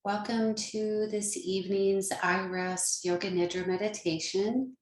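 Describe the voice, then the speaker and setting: A woman leading a guided meditation as a yoga nidra teacher, speaking gently and soothingly with long pauses. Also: medium pitch, fairly even intonation, reverberant, slight background noise.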